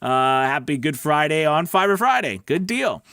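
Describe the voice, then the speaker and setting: A man's voice: a little high, big pitch swings, normal speed, fairly bright tone, no room sound, studio quality. A man giving casual, conversational instruction as a livestream coach, often checking in with his listeners. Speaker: voice-over coach hosting a weekly livestream.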